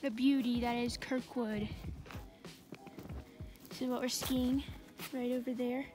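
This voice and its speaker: A woman's voice: on the high side, fairly even intonation, a little slow. A young woman narrating her own ski trip vlog in a playful, joking tour-guide way, outdoors on the slopes. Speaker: vlogger. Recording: with clear background music.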